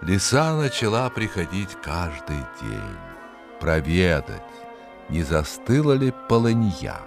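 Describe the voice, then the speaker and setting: Slow, deep-voiced male storyteller. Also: huge pitch swings, over music. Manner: dramatic, measured, savouring words. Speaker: storyteller, narrator. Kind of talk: expressive scripted fairy-tale narration.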